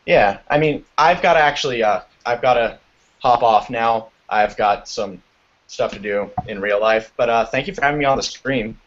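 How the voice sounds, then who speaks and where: A teenage young man with a deep baritone voice, talking casually and haltingly as a guest on a group call. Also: fairly bright tone. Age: teens. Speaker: guest on a writing advice stream. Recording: muffled lo-fi internet call audio.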